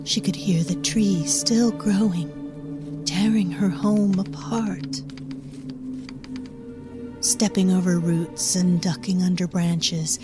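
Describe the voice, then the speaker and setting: A woman narrating a dark story in a hushed, deliberate storybook manner. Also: fairly low pitch.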